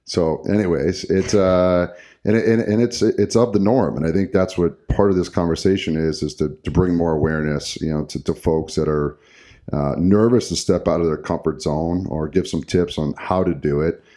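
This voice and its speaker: A man's voice: sits low, normal speed, warm tone. A middle-aged man co-hosting a podcast as a hockey coach, talking casually and rambling with many fillers and restarts.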